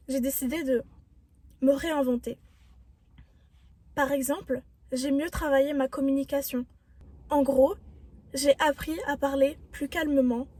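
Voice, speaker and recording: A young woman with a high, soft and mellow voice talks straight to her viewers. She gives self-help advice in an earnest, reflective way. Her tone stays encouraging throughout. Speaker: vlogger. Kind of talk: motivational self-help talk.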